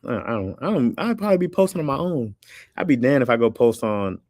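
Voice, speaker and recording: A man's voice: mid-range voice, big pitch swings, fast, darkish tone, no room sound, studio quality. A man hosting a livestream, chatting casually with his viewers in a rambling, laid-back way and laughing often. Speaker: livestream host reading chat. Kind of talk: casual chat.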